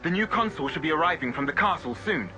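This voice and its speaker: Alert male soldier for a video game, piercing tone, animated high pitch. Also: fast talker, soft background music. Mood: formal.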